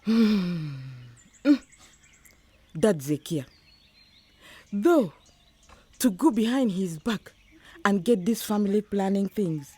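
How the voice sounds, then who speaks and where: A woman's voice: mid-range voice, expressive, slow, long pauses, mellow, breathy edge, no room sound. An older woman playing a disapproving, traditional-minded village grandmother in a radio drama, grumbling and muttering as she talks.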